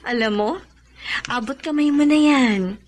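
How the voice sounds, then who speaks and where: A woman's voice: on the high side, measured pace, darkish tone. A woman acting a kind, encouraging doctor in a Filipino radio drama, reassuring and nurturing, with a Filipino accent.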